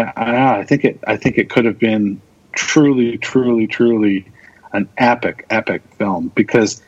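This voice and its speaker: A man's voice: medium pitch, breathy edge, muffled recording. A man chatting casually and with opinions as a podcast guest, speaking with enthusiasm and emphatic repetition. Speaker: podcast guest, radio drama producer.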